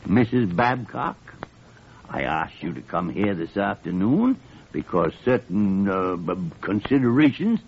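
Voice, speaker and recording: Blustery old american doctor, gruff n sardonic, swoops around, muffled noisy old radio sound. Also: male voice, low voice, sing-song, measured pace, heavily acted. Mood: formal, exasperated. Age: older. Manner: gruff, theatrical, muttering. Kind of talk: 1940s American radio drama acting.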